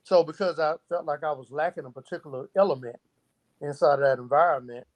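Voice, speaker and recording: Middle-aged male interviewee, slightly high voice, casual and reflective delivery. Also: fast talker. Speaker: interview guest, founder of a youth organisation.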